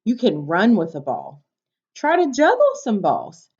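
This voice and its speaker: A woman's voice: mid-range voice, huge pitch swings, a little slow, darkish tone, slightly rough. A woman teacher reading a picture book aloud to young children, instructing and prompting them with questions. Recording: dull, lo-fi sound.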